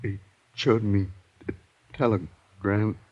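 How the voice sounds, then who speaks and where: An actor playing an injured, dazed male night watchman in an old-time radio drama, groggy with pain and speaking in halting, broken phrases. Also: on the low side, darkish tone, slightly rough. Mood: dazed, weak. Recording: muffled old radio recording.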